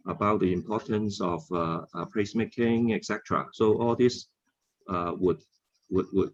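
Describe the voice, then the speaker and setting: A man with a Hong Kong accent speaking off the cuff as a panelist, halting often and repeating words. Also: low-pitched, dull audio. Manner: halting, frequent hesitations and word repeats. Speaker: symposium panelist. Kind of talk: unscripted panel discussion.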